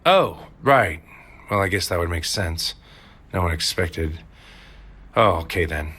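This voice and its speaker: Deep, brooding troubled agent. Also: male voice, lively intonation, pushed and bright, breathy edge, heavily acted. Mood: awkward, hesitant. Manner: brooding, deliberate poetic delivery. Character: troubled, haunted male agent narrating his own surreal missions. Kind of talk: noir-like poetic audio drama narration and dialogue. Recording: music bed under the voice.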